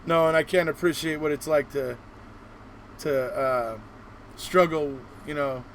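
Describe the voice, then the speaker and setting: A man with a fairly high voice hosts a casual interview on a live stream. He talks in an encouraging, meandering way, circling back over his points. A faint noise sits behind his voice. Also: fairly even intonation, a little slow, a bit bright. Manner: encouraging, rambling. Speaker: host of a live online show.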